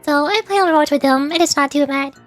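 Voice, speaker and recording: A woman with a high, light voice talks casually into a desk mic as a streamer. She rambles a little, stopping and restarting her sentences. Soft music plays faintly underneath. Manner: chatty, halting with fillers and restarts. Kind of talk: casual chat.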